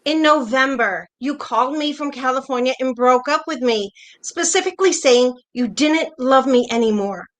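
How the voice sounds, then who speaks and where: A woman's voice: high voice, normal speed, hardly any pauses, natural voice. A woman playing a hurt, jealous older wife, pointed and probing, in a naturalistic play reading. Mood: accusing, hurt. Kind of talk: virtual staged play reading, naturalistic dialogue.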